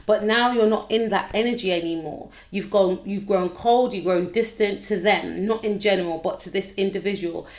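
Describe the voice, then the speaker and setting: Croaky-voiced woman doing a tarot reading. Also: medium pitch, moderate pace, few pauses. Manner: chatty, repetitive, addresses viewer directly. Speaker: tarot reader. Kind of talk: casual tarot reading to camera.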